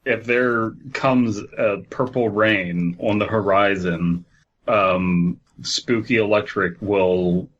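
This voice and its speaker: A slightly muffled recording of a man with a low, husky voice. He co-hosts a podcast in a casual, joking way, breaking off into mock quotes as he reads aloud. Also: steady tone, slow pace, a bit bright. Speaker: podcast co-host. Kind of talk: casual comedic banter and reading a horror story aloud.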